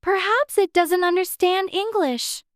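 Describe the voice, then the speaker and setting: Very high-pitched, earnest little girl. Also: measured pace, no echo, clean studio sound. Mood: musing. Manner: earnest, childlike, apologetic. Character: polite, eager, chattering little girl. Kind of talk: dramatized audiobook character dialogue.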